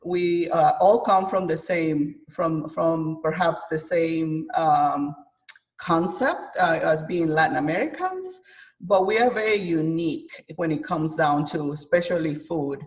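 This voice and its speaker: A woman from Guatemala, a chef and author, speaking thoughtfully in an interview with frequent pauses and fillers. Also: sits low, animated, far from the mic. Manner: hesitant, many fillers, thoughtful. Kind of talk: interview conversation.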